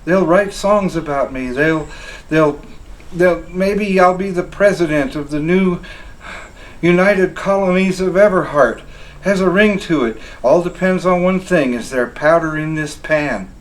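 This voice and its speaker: A man voicing a boastful, excitable young colonial sergeant who blusters and panics by turns, pitched well above his own voice. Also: a little slow, lightly acted, rough recording. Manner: frantic, blustering, brash.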